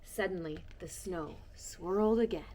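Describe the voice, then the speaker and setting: A woman reading a children's storybook aloud, expressive and shifting between hushed and bright tones. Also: on the low side, unhurried, rough recording. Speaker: storybook reader. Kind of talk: children's book read-aloud.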